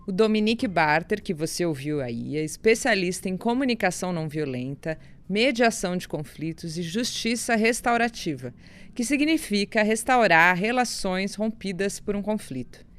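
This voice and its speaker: A woman's voice: medium pitch, lively intonation, moderate pace, faint music behind. Brazilian woman hosting a podcast. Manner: clear, scripted delivery; hesitant when asking questions. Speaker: podcast host and interviewer. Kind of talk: scripted intro and interview questions.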